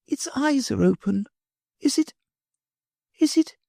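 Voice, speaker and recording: A woman reading an audiobook, voicing a gentle, earnest little girl in a soft, pleading way. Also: high-pitched, animated, slow pace, gravelly, no echo, clean studio sound, voice pushed up, heavily acted. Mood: awed. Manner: soft, pleading, wide-eyed. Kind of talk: audiobook character voice.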